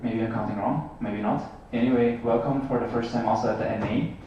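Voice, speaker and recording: A man opens an assembly with an unscripted, somewhat hesitant and rambling welcome. His voice is dark and relaxed, heard at a distance in a heavily echoing hall. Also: lowish voice, brisk. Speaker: board member opening an assembly. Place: large echoing room, heard from far off. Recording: echoey hall, distant, dull, faint noise.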